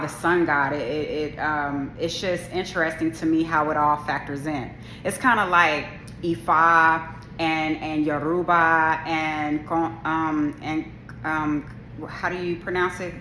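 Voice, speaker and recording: A woman's voice: low voice, a bit bright, a little hiss or hum. A woman video creator talking casually to camera about spirituality, with a strong, assertive delivery and many restarts and asides. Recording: echoey room.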